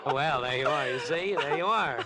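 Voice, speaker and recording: An actor playing a smooth, eccentric young man obsessed with murder in a 1950s radio drama, with a glib, insinuating charm. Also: medium pitch, moderate pace, natural voice. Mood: sly, insinuating. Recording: vintage 1950s radio broadcast with music.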